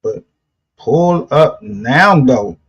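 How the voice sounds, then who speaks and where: A man with a husky voice chatting casually on a livestream, blunt and profane, with street slang. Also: medium pitch, expressive, very slow. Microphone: streaming call. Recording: dull lo-fi stream audio.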